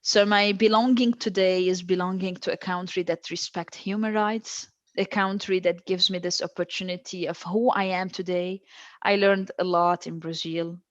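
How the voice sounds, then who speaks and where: A woman's voice: fairly low pitch, fairly even intonation, moderate pace, dry recording, dull audio. A woman, a guest who answers with emphatic, repeated phrases. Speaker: guest, stateless-rights advocate. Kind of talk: earnest interview answers.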